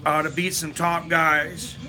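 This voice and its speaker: A man's voice: on the high side, level tone, unhurried. A man hosting a live fight companion stream, chatting casually and reading viewer comments aloud.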